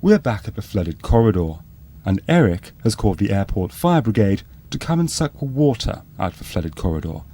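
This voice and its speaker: Male documentary narrator, low mellow voice, deadpan and earnest, lively intonation. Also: a little fast. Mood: matter-of-fact. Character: earnest docu-soap narrator, matter-of-fact.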